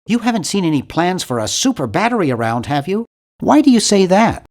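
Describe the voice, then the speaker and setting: Casting: nerdy young game hero, nasal, very animated intonation, timid, polite, hesitant, earnestly bookish. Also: male voice, sits high, a little fast. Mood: awkward, friendly. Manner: awkward, hesitant, earnest and fussy. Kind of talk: video game character voice lines.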